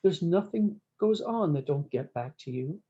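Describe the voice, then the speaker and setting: A woman's voice: fairly low pitch, expressive, a little slow, dark tone, muffled recording. A woman voicing a humbled, suffering old cowboy in a storybook, speaking in folksy, plaintive rhyme. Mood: humbled, repentant.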